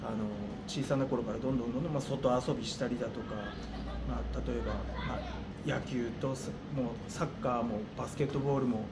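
A middle-aged man giving interview answers as a gym coach, thinking aloud with hesitant pauses. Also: mid-range voice, fairly even intonation. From Japan. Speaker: gym owner and martial arts coach. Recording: clearly noisy room ambience.

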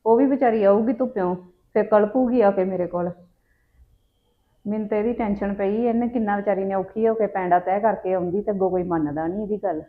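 A woman's voice: mid-range voice, steady tone, a little fast, narrow, tinny audio, lightly acted. A woman playing a breezy, teasing young wife. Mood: playful. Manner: playful, teasing. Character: cheerful young wife, easygoing. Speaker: comedy sketch actor.

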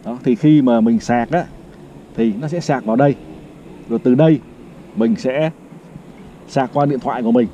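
A man's voice: mid-range voice, moderate pace, pauses often, mellow, a little hiss or hum. A middle-aged man on a city rooftop with a lapel mic, chatty, walks through a product review step by step with frequent fillers. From Vietnam. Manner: chatty, explains step by step, fills with "đó" and "vâng". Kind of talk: casual product review vlog. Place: rooftop terrace outdoors in a city. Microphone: clip-on lapel mic. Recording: slightly dull lo-fi outdoor sound.

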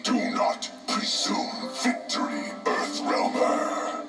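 An actor voicing a grave, defiant construct of many souls in a video game, speaking in solemn, formal declarations with an eerie, breathy quality. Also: male voice, takes it slowly, reverberant, lightly acted. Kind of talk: video game fight intro dialogue. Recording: distant game audio under loud music.

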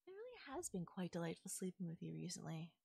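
A woman voicing a doting, possessive yandere fairy in an intimate audio roleplay, coaxing and softly teasing. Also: lowish voice, wildly swooping pitch, fast, gravelly, slightly rough, no room sound, clean studio sound, full character voice. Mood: sweet, smug. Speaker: voice actor. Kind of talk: intimate audio roleplay, ASMR-style acting.

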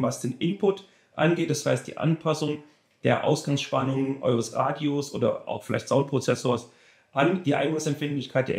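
A man's voice: medium pitch, darkish tone, roomy sound. A man presenting a car audio amplifier in a casual review, talking with enthusiasm and repeating words for emphasis.

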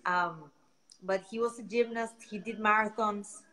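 A woman's voice: medium pitch, steady tone, a little slow, pushed and bright. Woman with a Costa Rican Spanish accent. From Costa Rica. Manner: friendly, rambling, repeats words while searching for them. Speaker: guest, founder of a beach cleanup project. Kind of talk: casual interview answers.

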